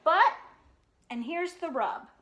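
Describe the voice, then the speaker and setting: A woman reading a children's picture book aloud in an expressive, sing-song way, pausing for chatty questions to young listeners. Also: very high voice, reverberant. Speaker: storytime reader.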